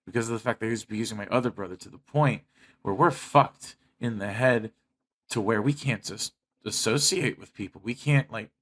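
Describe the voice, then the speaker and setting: A man's voice: on the low side, a little fast, a bit bright, breathy edge. A young American man speaking candidly to his viewers in a personal monologue, blunt and emphatic, with heavy swearing. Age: young adult. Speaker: YouTuber.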